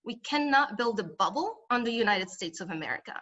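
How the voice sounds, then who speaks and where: A woman's voice: fairly high pitch, lively intonation, brisk, sharp tone, muffled recording. A woman from Morocco speaking as a congressional candidate at a political forum, with emphatic, repetitive campaign phrasing.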